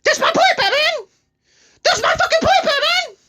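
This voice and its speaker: A man voicing a manic, theatrical clown supervillain in a comedy parody, with a shrill, gravelly cackle, taunting and talking about himself in the third person. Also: animated, moderate pace, bright, forceful tone, exaggerated voice. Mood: gleeful taunting. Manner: exclaims theatrically, talks of self in third person.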